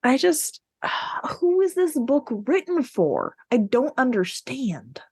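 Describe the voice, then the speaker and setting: A woman's voice: sits high, wildly swooping pitch, slow pace, darkish tone, slightly rough, no room sound, clean studio sound. A woman podcast host in a casual book discussion, speaking in a blunt, informal, sweary way.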